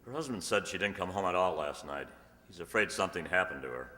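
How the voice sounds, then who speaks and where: A man's voice: low voice, expressive, fast talker, sharp tone. A man playing a no-nonsense small-town sheriff, businesslike and matter-of-fact, in a radio mystery play. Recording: reverberant hall sound.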